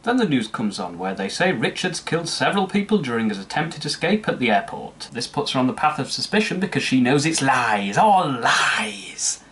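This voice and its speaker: A man's voice: mid-range voice, very animated, fast talker, hardly any pauses, fairly bright tone, slight background noise. A man narrating a comedic scripted film review with sarcastic, mocking asides. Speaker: movie reviewer.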